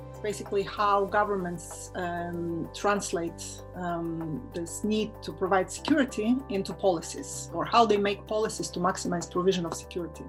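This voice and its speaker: A woman from Israel, an assistant professor explaining her research in a prepared statement, pausing often with filler sounds. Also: fairly low pitch, low-quality recording. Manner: hesitant, many filler sounds.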